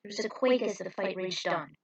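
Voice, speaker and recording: A woman's voice: medium pitch, brisk, slightly rough. A woman narrating a scripted adventure story in a dramatic read-aloud manner, with the occasional stumble. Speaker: narrator reading own fan fiction.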